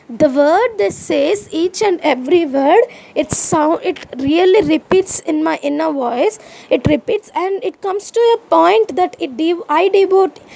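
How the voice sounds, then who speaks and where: High, gravelly-voiced indignant Indian woman. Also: a little mellow. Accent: Indian English. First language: Indian language other than Hindi. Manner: impassioned, indignant venting with rhetorical questions. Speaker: podcaster. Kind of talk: personal monologue to the audience.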